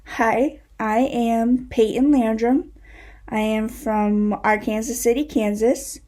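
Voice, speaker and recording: A young woman, a graduating education student, introducing herself in a measured, sincere way. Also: on the high side, dark tone. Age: young adult. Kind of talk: recorded self-introduction.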